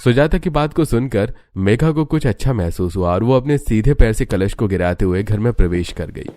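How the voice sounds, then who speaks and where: A man's voice: on the low side, lively intonation, moderate pace, warm tone, a little hiss or hum. A man reading scripted narration for a cartoon family story.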